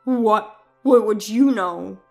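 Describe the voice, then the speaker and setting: A woman's voice: medium pitch, slow, creaky, a little mellow, soft background music. A woman voicing a defensive cheating husband in a scripted story, pleading and condescending, with emphatic delivery. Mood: indignant.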